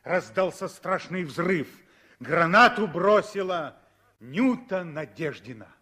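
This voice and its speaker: A man narrating a 1960s radio drama as a lyrical, expressive storyteller with measured phrasing. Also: sits high, exaggerated voice. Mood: grave. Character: lyrical male storyteller of a civil war tale.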